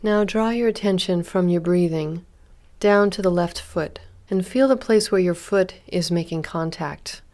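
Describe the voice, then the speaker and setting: A woman leading a guided body scan meditation as an instructor, speaking calmly and gently in an unhurried way with long pauses. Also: lowish voice.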